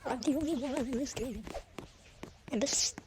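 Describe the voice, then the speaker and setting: A woman giving casual gameplay commentary, often repeating short phrases in quick bursts. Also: high-pitched. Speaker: gamer commentating own play. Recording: noisy home recording with clicks.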